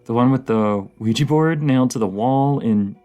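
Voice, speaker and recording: A man playing a dorky, excitable partner who rambles like a horror-movie nerd in a casual, intimate roleplay, stammering and halting with enthusiasm. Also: medium pitch, smooth, soft background music, close to an everyday voice. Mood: playful, curious.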